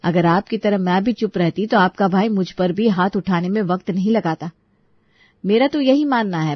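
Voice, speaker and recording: A woman's voice: fairly low pitch, dry recording, highs cut off, close to an everyday voice. A woman playing an outspoken, sensible young sister-in-law who gives firm advice in a Hindi moral story. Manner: firm, advising.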